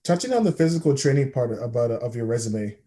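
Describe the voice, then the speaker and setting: Brief: quick, warm-toned man, podcast co-host, casual questioner. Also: mid-range voice, animated. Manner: quick, conversational question framing.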